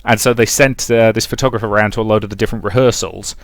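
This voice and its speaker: A man's voice: lowish voice, fairly even intonation, fast, no room sound, clean studio sound. A man who reads a visual novel aloud as a let's play host, mixing story narration with casual asides.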